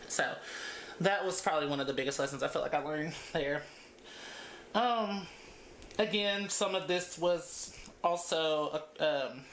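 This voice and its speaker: A woman's voice: deep voice, echoey room, a little hiss or hum. A woman in her thirties chatting casually as she braids her hair for her vlog, laughing often between thoughts. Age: 30s. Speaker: beauty vlogger.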